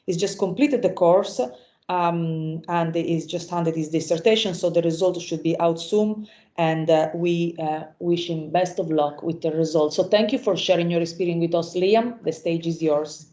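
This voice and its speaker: A woman hosting a university event and introducing the next speaker, with a halting delivery full of fillers. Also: fairly low pitch, steady tone, dark tone. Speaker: event host. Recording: echoey room, slightly muffled.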